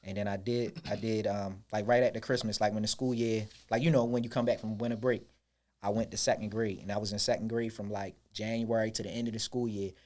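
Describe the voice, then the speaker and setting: A man's voice: on the low side, little pitch movement, fairly quick, darkish tone. A man co-hosting a podcast, telling a school story in slangy casual chat with lots of restarts. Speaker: podcast co-host.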